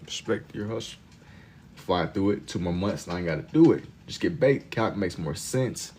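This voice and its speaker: A man in his twenties hosting a livestream, chatting casually with viewers in laid-back slang and getting loud and laughing when teased. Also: sits low, animated, normal speed, husky. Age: 20s. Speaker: livestream host. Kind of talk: casual chat with viewers while drawing.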